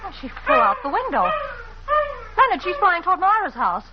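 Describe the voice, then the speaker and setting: An actress playing a spirited young leading lady, earnest and expressive, in a muffled 1940s radio drama. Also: extremely high pitch, fairly quick, heavily acted. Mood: startled. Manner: expressive, theatrical. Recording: muffled, faintly noisy old radio recording.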